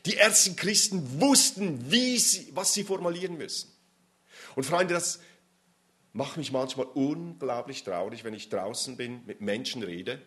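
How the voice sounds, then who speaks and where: A man's voice: mid-range voice, animated, measured pace, a bit bright. A man preaching an interactive sermon, emphatic and addressing the audience directly.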